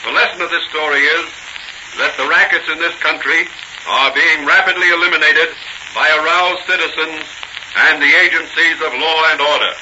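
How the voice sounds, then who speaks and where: A man's voice: fairly high pitch, fairly even intonation, normal speed, noisy background. A man announcing a 1940s radio serial, reading scripted narration in a declamatory way. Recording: dull 1940 radio recording. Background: music.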